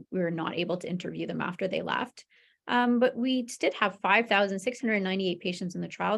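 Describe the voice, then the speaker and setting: A woman presents research in a webinar at a medium pitch. Her voice has noticeable vocal fry. She explains things informally, checking in with listeners as she goes. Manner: informal explaining with frequent "you know" and "right?" check-ins. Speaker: medical researcher presenting a study.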